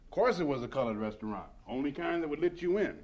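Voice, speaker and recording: An actor playing a stern, pragmatic middle-aged college president in a radio drama, lecturing briskly with authority. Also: male voice, a little high, expressive, dull audio. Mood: stern, cautioning.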